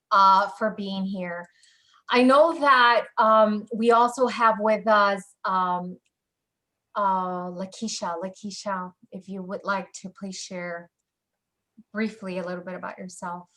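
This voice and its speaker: A woman moderates a video panel at an unhurried pace. She pauses with fillers between her words. Her pitch is mid-range. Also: sharp tone. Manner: hesitant, filler-heavy. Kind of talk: hosting a panel. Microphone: video call.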